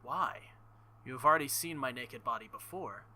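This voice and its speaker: Guy doing a calm formal young swordswoman in a visual novel, polite, measured wording, bright voice. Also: medium pitch. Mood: calm, questioning.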